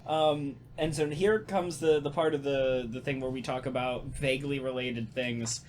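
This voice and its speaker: A man chatting casually as a podcast host, telling stories in an animated, halting way with casual swearing. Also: medium pitch. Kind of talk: casual podcast chat and storytelling.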